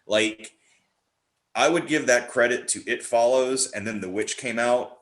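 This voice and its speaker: A man's voice: medium pitch, a little slow, fairly bright tone. A man chatting casually about films in an opinionated, emphatic way. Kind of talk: casual film chat.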